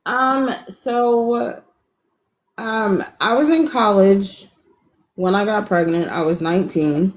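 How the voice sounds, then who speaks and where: A woman in her thirties calls in on her phone, speaking at a middle pitch. She tells her own story frankly and in quick bursts, and she pokes fun at herself along the way. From Atlanta, Georgia, US. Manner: frank, self-deprecating, talks in quick bursts. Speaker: caller on a live stream. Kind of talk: candid casual storytelling.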